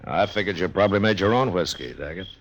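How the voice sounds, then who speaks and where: An actor playing a watchful, lonely frontier marshal in a 1950s radio western, in terse, measured speech. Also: male voice, deep voice, animated, a bit bright, a little hiss or hum. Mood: grave, probing. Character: watchful, lonely frontier US Marshal, male, narrating his story. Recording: 1950s radio recording, music behind.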